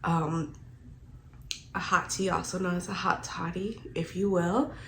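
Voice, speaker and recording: Congested young woman with vocal fry. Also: on the low side, fairly even intonation, unhurried, a little hiss or hum, rough recording. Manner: chatty, heavy use of filler "like". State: congested, stuffy nose. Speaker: book vlogger. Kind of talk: casual rambling vlog chat.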